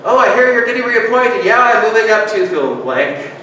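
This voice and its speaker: A man preaches to his congregation with a fairly high voice, swinging between loud rousing calls and hushed, soft-spoken reflection. He is heard from a distance in a strongly echoing church, with clear background noise around him. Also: very animated, a little fast. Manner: conversational, joking with the congregation. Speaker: pastor. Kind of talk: sermon. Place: church sanctuary.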